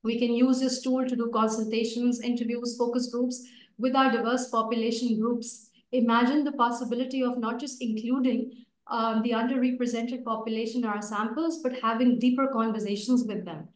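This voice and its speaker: A woman in her thirties, a native Urdu speaker, giving a prepared debate speech over a video call in a steady, list-like way. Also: a little high, fairly quick. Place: home room. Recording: echoey video call from far off.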